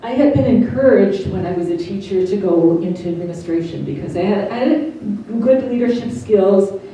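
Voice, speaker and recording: A middle-aged woman giving a personal testimony, speaking slowly and haltingly with carefully over-articulated consonants. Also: mid-range voice, lively intonation, warm tone. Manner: slow and halting, many pauses. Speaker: interviewee. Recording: roomy, distant mic, faint hiss.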